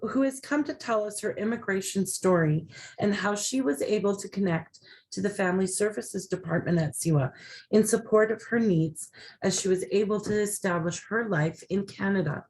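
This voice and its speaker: A woman's voice: mid-range voice, moderate pace, darkish tone, slight background noise, rough recording. Woman host introducing speakers politely. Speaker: event host. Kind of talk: event hosting, introducing speakers.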